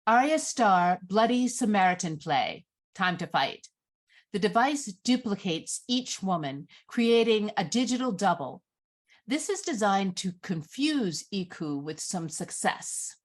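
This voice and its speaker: Female narrator, screenplay stage directions, slow pace, medium pitch, measured descriptive reading. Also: animated.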